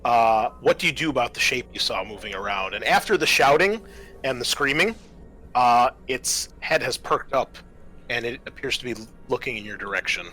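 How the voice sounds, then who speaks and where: A man's voice: mid-range voice, lively intonation, normal speed, sharp tone, rough recording. A man acting as game master, narrating and explaining the rules of a tabletop horror roleplaying game in a conversational way.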